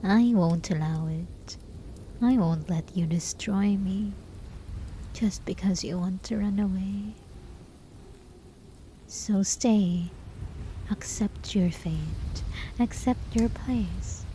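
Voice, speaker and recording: Casting: actress as possessive hermit enchantress for ASMR roleplay; mellow, intimate, whisper-prone, desperate and lovesick, faint noise behind. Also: female voice, medium pitch, natural voice, low-quality recording. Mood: pleading, obsessive. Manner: soft, slow, intimate, often dropping into whispers. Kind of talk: ASMR roleplay, scripted dramatic monologue.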